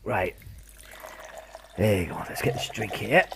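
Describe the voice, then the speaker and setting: A man's voice: lowish voice, lively intonation, a little slow, creaky, noisy background. A man playing a blunt, affectionate working-class British father in a wartime radio play. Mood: hearty, insistent.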